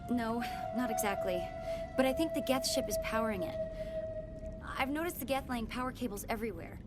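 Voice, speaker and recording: Woman as an anxious young researcher, highish voice. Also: expressive, fairly quick, over loud music, close to an everyday voice. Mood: uneasy. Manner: worried, hesitant, pleading. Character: anxious young female research assistant, earnest survivor. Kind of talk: video game voice acting.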